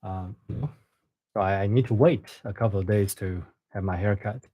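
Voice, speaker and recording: A young man with a Chinese accent, a native Chinese speaker chatting casually on a live stream, soft-spoken and hesitant, often repeating words. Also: fairly low pitch, normal speed, husky, no room sound. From China. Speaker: live streamer reading stories.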